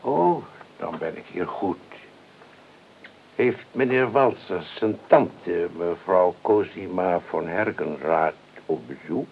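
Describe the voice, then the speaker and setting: An actor playing an eccentric, politely blunt freelance bodyguard in a radio play, with deadpan, matter-of-fact delivery. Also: male voice, low-pitched, slow, creaky, heavily acted. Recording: tinny, noisy old radio recording.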